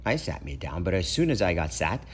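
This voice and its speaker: A man's voice: sits low, sing-song, fairly quick, breathy edge. A man reading a children's storybook aloud expressively, as a parent would for kids.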